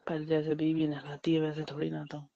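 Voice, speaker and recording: Dull phone-call recording, flirty even-toned man. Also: fairly high pitch, a little fast, creaky, a little hiss or hum. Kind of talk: flirtatious casual phone chat.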